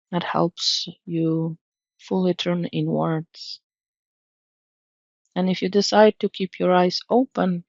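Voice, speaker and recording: Slow, creaky-voiced woman guiding meditation. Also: fairly low pitch, no echo, dull audio. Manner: soothing, gentle, measured instruction. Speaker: meditation guide. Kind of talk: guided meditation narration.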